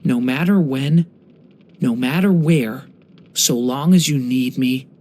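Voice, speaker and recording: A young man voicing a gentle, earnest dragon boy for a video game, polite and slightly hesitant. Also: medium pitch, takes it slowly, a little hiss or hum, natural voice. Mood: earnest, grateful. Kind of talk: video game character voice lines.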